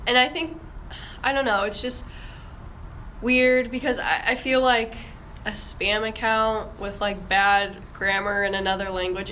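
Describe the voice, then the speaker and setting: A woman's voice: mid-range voice, sharp tone, a little hiss or hum. A young woman hosting a casual podcast discussion in an informal, hesitant way. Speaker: podcast host.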